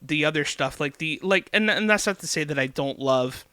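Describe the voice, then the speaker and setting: A fairly high, bright voice from a man who loves to talk music. He rambles eagerly through thoughts, piling on fillers as he goes. The sound is crisp and dry, right up on a close mic. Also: expressive, normal speed, no echo. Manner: enthusiastic, rambling with many fillers. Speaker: podcast guest, singer-songwriter. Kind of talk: casual music chat.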